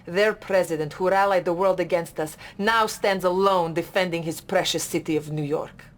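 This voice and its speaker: Fields. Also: female voice, fairly low pitch, fairly even intonation, normal speed, a little hiss or hum, lightly acted. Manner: urgent, formal briefing. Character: loyal, earnest female Soviet officer. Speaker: Soviet military officer.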